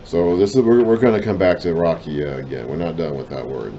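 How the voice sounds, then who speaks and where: Low deep-voiced man teaching slowly. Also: warm tone, highs cut off, slight background noise. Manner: deliberate, apologetic asides, trailing off at phrase ends. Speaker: Bible teacher. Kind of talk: reading and explaining a lesson.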